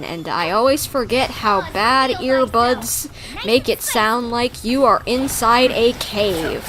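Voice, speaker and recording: A woman chatting casually on a gaming livestream, rambling along with animated griping. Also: on the high side. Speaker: streamer.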